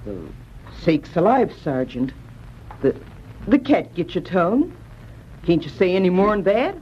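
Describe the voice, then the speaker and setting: An actress playing a homespun, chatty, kindly frontier wife with folksy rustic phrasing in an old-time radio drama. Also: female voice, lowish voice, big pitch swings, measured pace. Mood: puzzled, anxious. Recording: muffled, noisy old radio recording.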